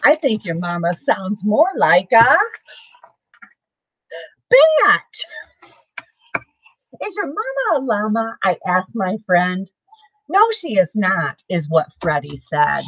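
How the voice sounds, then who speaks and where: A middle-aged woman reading a children's picture book aloud in an expressive, sing-song way for young listeners. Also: mid-range voice, takes it slowly, long pauses, no echo, rough recording. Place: small home room with bookshelves, indoors.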